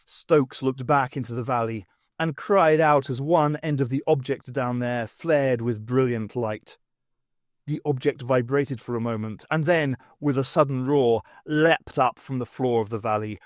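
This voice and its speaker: Man, audiobook narrator, medium pitch, scripted dramatic storytelling, science fiction, dry recording. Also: moderate pace, dry recording. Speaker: audiobook reader.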